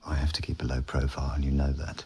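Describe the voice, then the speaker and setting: A self-assured scientist in charge of a risky sound project. His voice sits very low, with the pushy confidence of a man always selling his next scheme. It is a radio drama performance. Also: level tone, quick pace, warm tone, a little hiss or hum. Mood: pleading. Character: boastful scheming male scientist boss. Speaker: project leader.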